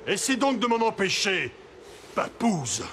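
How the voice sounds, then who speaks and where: High, theatrical proud young hunter. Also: male voice, very animated, a little slow, noisy background, exaggerated voice. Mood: scornful, vengeful. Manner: boastful, dramatic, sneering. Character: proud, scornful, hot-headed young male hunter. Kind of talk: animated children's series acting. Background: loud music.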